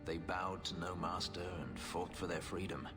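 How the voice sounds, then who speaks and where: An actor playing a brooding former slave in a video game, speaking in a measured, halting and somber way. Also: male voice, low voice, close to an everyday voice. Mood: somber recollection. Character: brooding male former slave recounting a painful escape. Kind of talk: dramatic video game voice acting. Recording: game dialogue with music underneath.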